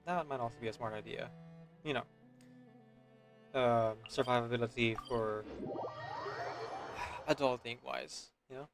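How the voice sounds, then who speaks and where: A man's voice: mid-range voice, steady tone, a little slow, creaky, long pauses. A man doing casual gameplay commentary, musing to himself in a hesitant, trailing way. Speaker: streamer. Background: game music behind the voice.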